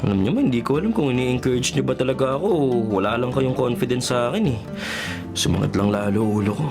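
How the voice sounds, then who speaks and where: Animated, flustered young Filipino guy, acted by a man. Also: mid-range voice, quick pace, music in the background, lightly acted. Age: young adult. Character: flustered lovestruck young son, easily exasperated. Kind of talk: Filipino radio drama acting.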